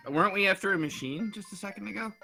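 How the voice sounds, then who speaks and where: A man's voice: high-pitched, quick pace, gravelly, bright, forceful tone. A man bantering casually in a read-along group, joking with mock exasperation. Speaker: member of a read-along crew. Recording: music playing behind the voice. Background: music.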